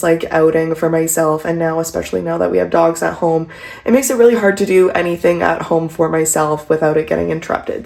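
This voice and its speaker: A woman's voice: deep voice, creaky, darkish tone. A young woman vlogging at home, talking casually to the camera with a stuffy, congested voice and lots of "like" and "um" fillers. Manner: chatty, rambling, frequent "like" and "um". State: congested, recovering from a cold. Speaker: vlogger and pilates instructor. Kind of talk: casual vlog talk to camera.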